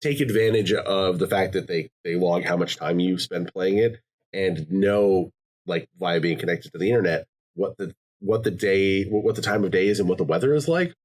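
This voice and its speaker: A man's voice: low voice, normal speed. A man hosting a podcast in casual chat, swearing freely and often slipping into quoted voices. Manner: animated, profane, jumps into quoted voices. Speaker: podcast host.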